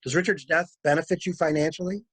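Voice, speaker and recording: A man's voice: a little high, steady tone, quick pace, fairly bright tone, no echo. A man acting a shrewd, blunt male traveler in a mystery drama reading, with clipped, wry, probing delivery. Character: male stranded traveler, shrewd, blunt, coolly inquisitive stranger.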